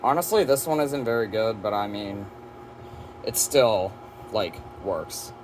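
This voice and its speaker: A man's voice: on the low side, animated, a little slow, a little mellow, a little hiss or hum, poor audio. A man giving a casual, rambling, offhand hands-on demo of his collection, presenting as a hobby collector. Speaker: weather radio collector presenting.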